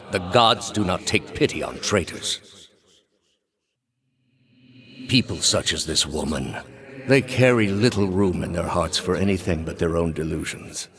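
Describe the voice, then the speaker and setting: A very low male voice for a video game high priest. He leads a religious order with solemn zeal, choosing his words formally and with measured care. Quiet menace sits under every sentence. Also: exaggerated voice. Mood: cold, probing. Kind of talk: dramatic video game character dialogue.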